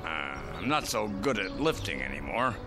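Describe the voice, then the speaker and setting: A man's voice: on the low side, sing-song, normal speed, music in the background. An actor playing a cranky, nostalgic old man in a radio drama, grumbling and theatrical. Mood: coaxing. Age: older.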